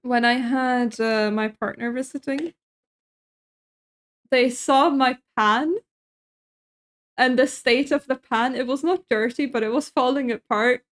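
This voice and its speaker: A woman's voice: high voice, fairly even intonation, a little slow, pauses often, fairly bright tone. A young woman from Sweden, chatting casually with her stream chat while cooking in her kitchen, laughing often and answering messages.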